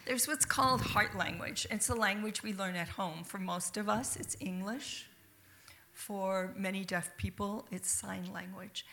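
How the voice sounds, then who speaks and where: A woman reading a scripted narration in a soft, measured way. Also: medium pitch, a little hiss or hum.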